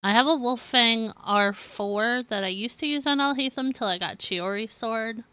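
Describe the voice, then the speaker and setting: A woman's voice: on the high side, moderate pace, pushed and bright, smooth, dry recording. A woman chatting casually on a game live stream, rambling and giggly as she talks to viewers.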